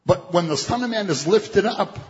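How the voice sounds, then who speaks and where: A man's voice: sits high, expressive, moderate pace. Man preaching in an echoing hall. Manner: conversational preaching, quotes scripture, acts out voices. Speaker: pastor. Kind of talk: sermon. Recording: dull, muffled sound with long hall reverb.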